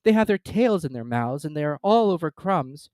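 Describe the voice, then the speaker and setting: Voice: medium pitch, animated, normal speed, a little mellow, no room sound, studio quality, voice pushed up, natural voice. A reader voicing a polite, timid and curious little girl in a storybook.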